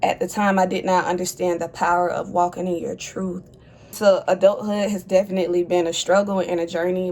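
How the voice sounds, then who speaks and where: A woman's voice: on the low side, darkish tone. A woman in her twenties speaking as an advocate, composed and articulate, telling her story in an interview. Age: 20s. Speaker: HIV advocate. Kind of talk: interview testimony.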